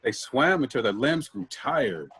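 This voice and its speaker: A man playing a theatrical, teasing, husky magical spirit of sea and sky in a staged play reading. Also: mid-range voice, very animated, unhurried, a bit bright, a little hiss or hum. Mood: storytelling.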